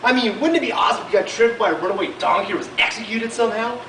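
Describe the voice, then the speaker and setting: A woman's voice: on the high side, racing, a bit bright, a little hiss or hum, close to an everyday voice. A young woman as an eager apprentice Pharisee. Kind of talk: amateur class skit acting. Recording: echoey distant home video.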